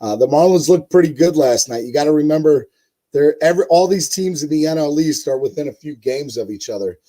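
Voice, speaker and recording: A man with a hoarse voice hosting a casual live-stream sports show, rambling with many fillers and talking to his chat viewers. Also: on the high side, lively intonation, a little fast, mellow. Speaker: host.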